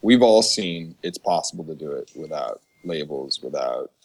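A man with a low voice chats casually as a podcast guest. He talks like a musician among friends, emphatic and slangy, with some swearing. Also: expressive, normal speed. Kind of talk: casual chat.

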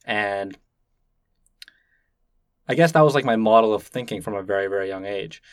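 A man's voice: fairly low pitch, normal speed, smooth, dry recording. Curious man interviewing, dry sound. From Canada. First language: Cantonese. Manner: curious, gently probing. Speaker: podcast host and interviewer. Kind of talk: conversational interview.

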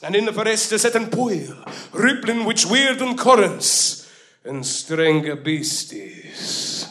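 Male comic storyteller, high lively voice, mock-foreign broken English, bursts of shouting. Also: slow pace. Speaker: comedy storyteller. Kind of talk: comic retelling of an epic in broken old-world English. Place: small comedy club stage.

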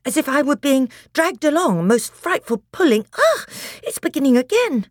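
A woman voicing an excitable, bossy schoolboy with urgent exclamations in a storybook reading. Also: fairly low pitch, a little fast, no echo, clean studio sound, pitched above the performer's own voice. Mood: alarmed, urgent. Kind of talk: audiobook character acting.

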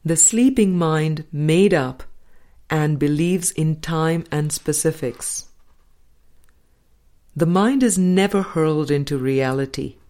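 Woman audiobook reader, very slow pace, slightly low voice, deliberate phrasing, scripted spiritual narration. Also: dark tone. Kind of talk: scripted spiritual book reading.

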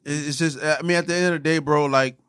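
A man's voice: on the high side, fairly even intonation, quick pace, fairly bright tone, clear, resonant tone. A man bantering as a podcast host in a casual, combative, profane and emphatic way, often repeating himself. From Haitian background.

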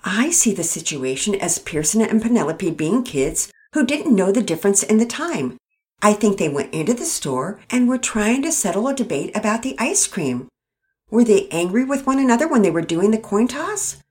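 A patient, soft-spoken storybook father who asks mild, careful questions, as a woman reading aloud puts him on. His voice is gentle and a little higher than the reader's own. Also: big pitch swings, moderate pace, lightly acted.

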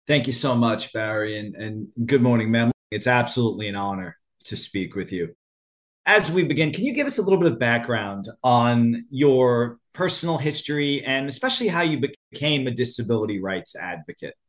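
A man's voice: mid-range voice, expressive, moderate pace. A man conducting a polite, formal interview, speaking courteously and with deference to his guest.

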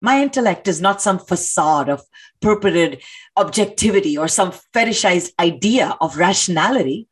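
A woman's voice: fairly low pitch, lively intonation, normal speed, breathy edge. An actress playing an earnest, intellectual woman who challenges authority, speaking articulately and emphatically in dramatic dialogue. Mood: earnest, pained. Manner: articulate, emphatic, rhetorical questioning. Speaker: actor in a stage play reading.

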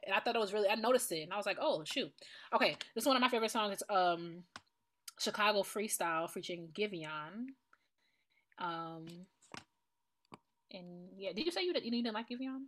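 A woman co-hosting a podcast, chatting casually about music in an animated, playful, colloquial way. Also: fairly high pitch, slight background noise. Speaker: podcast co-host. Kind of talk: casual chat and music commentary.

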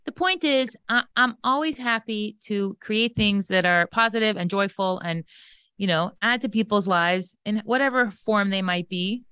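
A woman's voice: mid-range voice, moderate pace, pushed and bright, clear, resonant tone, no room sound, studio quality. A woman in her forties chatting casually as a podcast guest, playful and teasing. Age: 40s. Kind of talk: casual bantering chat.

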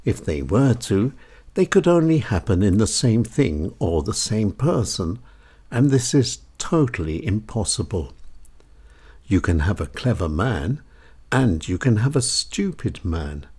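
A man reading a scripted essay in a measured, deliberate way, pausing often between phrases. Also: lowish voice, very animated, a little mellow, breathy edge. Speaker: essayist reading own work.

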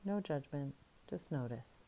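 A woman guiding a breathing meditation in soft, soothing, unhurried instructions. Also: deep voice, lively intonation, low-quality recording. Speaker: meditation guide.

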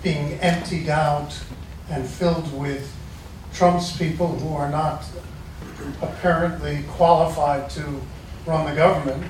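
A man's voice: a little high, flat delivery, a little slow, a little hiss or hum. A man in the audience asking a question in short, broken phrases. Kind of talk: question from the floor. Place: seminar hall. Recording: echoey hall, distant mic.

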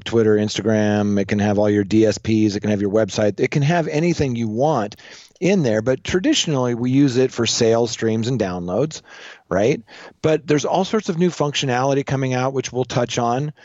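A man's voice: mid-range voice, a little mellow, no room sound. A man co-hosting a podcast, giving listeners casual, practical advice. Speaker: podcast co-host. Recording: dull, lo-fi, dry sound.

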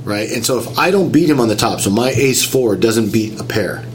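A man's voice: mid-range voice, lively intonation, moderate pace, a little hiss or hum. A man getting over a cold, sounding nasal and a little whiny, talking through a story in casual chat and explaining things step by step. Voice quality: slightly whiny.

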